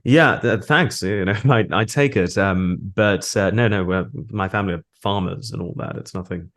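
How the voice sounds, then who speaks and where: A man's voice: lowish voice, normal speed, fairly bright tone. A man from Australia with a posh British accent and little nasal sound, chatting discursively and with dry politeness as a voice actor in an interview. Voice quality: weak nasals. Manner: wordy, discursive, politely wry. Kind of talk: casual interview chat.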